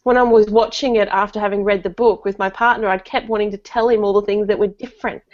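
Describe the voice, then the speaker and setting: A woman's voice: mid-range voice, fairly even intonation, fast talker. A woman with an Australian accent hosting a casual online chat, talking in a chatty, giggly way and loose, hedged sentences. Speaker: host of an online book discussion. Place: live online video call. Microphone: computer call, wearing headphones. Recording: dull, lo-fi internet call sound.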